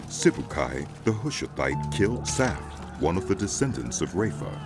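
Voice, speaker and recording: A man narrating dramatized scripture in a solemn, storytelling manner. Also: low voice. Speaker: Bible narrator. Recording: music behind the voice. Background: background music.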